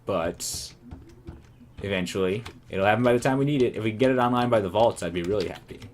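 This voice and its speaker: A man casually commenting on his own gameplay, rambling and self-amused, his voice going hoarse. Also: on the low side, fast talker, slight background noise. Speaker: let's play commentator.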